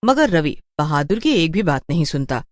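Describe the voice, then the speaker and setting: Dry, low-voiced female story narrator. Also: big pitch swings, moderate pace, no room sound. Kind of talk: scripted horror story narration.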